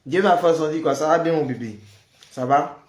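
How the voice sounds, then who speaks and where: A man's voice: on the high side, moderate pace, roomy sound. A man with the flu, leading a prayer livestream and chatting casually with viewers, greeting them by name. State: has the flu. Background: noise of building work.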